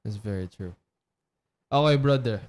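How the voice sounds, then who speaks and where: A man's voice: mid-range voice, measured pace, a little mellow. A man hosting a casual podcast chat in a barbershop studio, asking questions, echoing the guest and swearing casually. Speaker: host.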